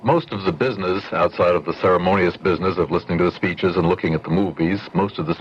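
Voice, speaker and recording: A man reporting political news on the radio in a relaxed, slightly searching way. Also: deep voice, quick pace, slight background noise. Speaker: news correspondent. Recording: dull lo-fi radio broadcast.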